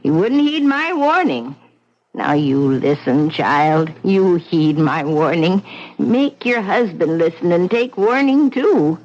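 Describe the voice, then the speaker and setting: A grim old widow of doom. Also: female voice, on the low side, animated, slow pace, darkish tone. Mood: foreboding. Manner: grim, insistent warnings. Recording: muffled old radio recording, faint hiss.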